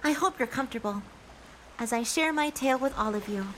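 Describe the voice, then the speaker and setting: Woman playing a gracious, high-voiced mermaid sea spirit. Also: fairly quick, fairly bright tone, close to an everyday voice, rough recording. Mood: gracious, welcoming. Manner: gracious, theatrical, addressing listeners as guests. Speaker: storyteller. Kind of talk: scripted fairy-tale narration in character. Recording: faint background noise and ambience.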